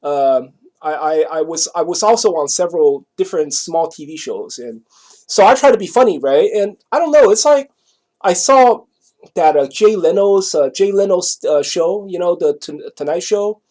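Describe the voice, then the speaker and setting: A man's voice: sits high, animated, darkish tone. Middle-aged man with a Taiwanese accent. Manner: repetitive, halting, often checking with the listener. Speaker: vlogger telling a personal story. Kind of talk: casual rambling storytelling.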